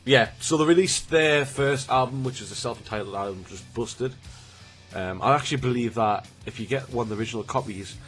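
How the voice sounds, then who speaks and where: A man's voice: mid-range voice, a little fast, a bit bright, faint music behind. A man, chatting casually to camera as a YouTuber, rambling and restarting, a bit snotty from a cold. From Newcastle, England. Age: 20s. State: has a cold, slightly snotty.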